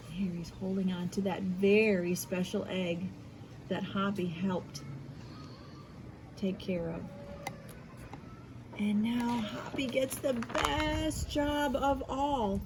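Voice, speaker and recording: Slow-paced American woman reading to children. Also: medium pitch, noisy background. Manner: expressive, encouraging, asks questions and pauses for answers. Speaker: school media specialist reading aloud to children. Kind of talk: read-aloud storytelling and lesson for young children.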